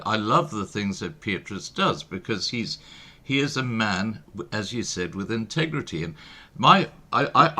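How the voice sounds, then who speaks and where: A man's voice: sits low, pushed and bright. A man from East and South Africa hosting a live interview, speaking frankly and often repeating words as he goes. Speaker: talk show host.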